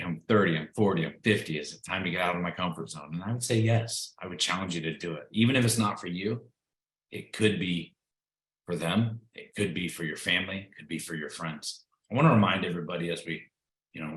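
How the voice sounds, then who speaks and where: Low voiced guy doing a salesy video call pitch, lots of uhs, echoey room. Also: a bit bright. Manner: upbeat salesy, many fillers.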